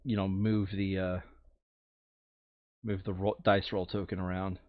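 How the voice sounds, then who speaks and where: A man's voice: low-pitched, level tone, unhurried, no room sound, lo-fi sound. A man playing a board game, casually narrating the steps and muttering asides to himself as he works through them. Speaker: tabletop game player and narrator.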